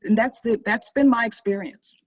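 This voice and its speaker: A woman's voice: fairly high pitch, moderate pace, slightly rough, dull audio. A woman speaking earnestly in a panel discussion, reflective, often restarting her sentences. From Maryland. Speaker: panel guest.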